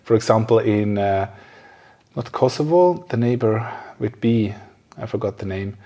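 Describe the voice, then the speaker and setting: Halting man hosting a casual podcast. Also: on the low side, mellow. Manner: halting, searching for words. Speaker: podcast host. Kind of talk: casual conversational interview.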